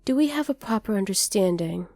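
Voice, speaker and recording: A woman voicing a haughty, possessive dragoness captor in an ASMR roleplay, speaking to the listener in a condescending, deliberate way. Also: mid-range voice, lively intonation, dark tone, dry recording, natural voice. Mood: stern, condescending.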